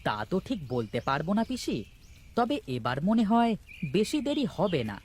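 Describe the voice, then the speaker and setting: Man playing a lively, gluttonous cartoon nephew. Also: sits high, normal speed, heavily acted. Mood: anxious. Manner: boastful, exclaiming. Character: boastful, gluttonous, henpecked village nephew, a married man. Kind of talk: cartoon character dialogue. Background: music.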